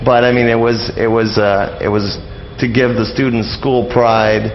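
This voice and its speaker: An older man speaking as a guest in a casual radio interview, in a halting, rambling way with frequent hesitations. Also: mid-range voice, little pitch movement, a little hiss or hum. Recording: dull lo-fi radio interview audio.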